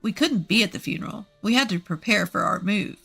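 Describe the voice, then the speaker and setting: A woman's voice: medium pitch, expressive, fairly quick, gravelly, sharp tone, slightly rough, faint music behind, full character voice. A woman voicing a greedy, sullen, impatient adult son in a narrated family story. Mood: brusque.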